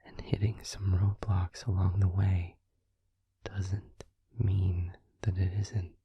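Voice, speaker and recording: A man playing a gentle, supportive vampire boyfriend in an intimate ASMR roleplay, in a breathy, soothing whisper with pauses. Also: sits low, flat delivery, no room sound, dull audio, full character voice. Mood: tender, reassuring. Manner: soft, soothing, hesitant pauses.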